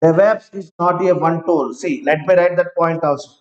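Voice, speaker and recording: A man teaching an online class, talking slowly, repeating each phrase and checking that students follow. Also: fairly high pitch. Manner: slow, repeats each phrase several times; asks students to confirm they follow. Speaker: IT trainer. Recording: roomy, dull lo-fi online class audio.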